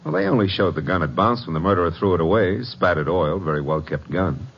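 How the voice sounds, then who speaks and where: Deep, husky wisecracking American detective. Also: male voice, lively intonation, quick pace, exaggerated voice. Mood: wry. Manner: breezy, flippant, wry. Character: wisecracking, cocky American private detective's legman. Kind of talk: 1940s-50s radio detective acting. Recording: dull old radio recording.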